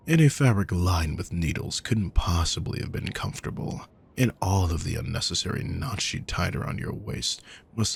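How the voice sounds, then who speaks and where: Man playing a deep, gravelly, possessive villain. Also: exaggerated voice. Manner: smooth-worded, condescending, darkly sardonic. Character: possessive, menacing aristocratic male villain, master of an estate. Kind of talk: scripted roleplay audio, spoken intimately to the listener.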